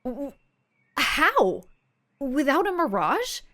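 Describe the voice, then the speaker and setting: A woman playing an earnest, excitable young superhero fan in an audio drama. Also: sits high, drawn-out words, exaggerated voice. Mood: determined.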